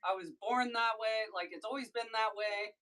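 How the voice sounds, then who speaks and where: A woman from Missouri with a deep voice, chatting casually on a livestream while she packs and ships orders, often breaking off mid-thought to handle a task. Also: normal speed, a bit bright. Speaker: online seller streaming a live sale.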